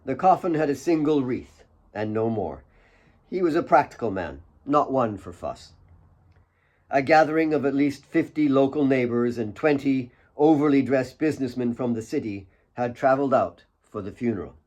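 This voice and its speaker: A man reading his own novel as a dramatic audiobook narrator, in a measured way with pauses between phrases. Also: mid-range voice, expressive, a little mellow, muffled recording.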